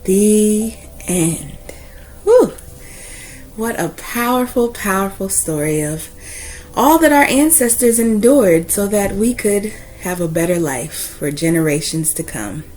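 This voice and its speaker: A woman reading a children's picture book aloud as host, in a deliberate, emphatic way with dramatic pauses. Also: medium pitch, expressive, darkish tone, rough recording.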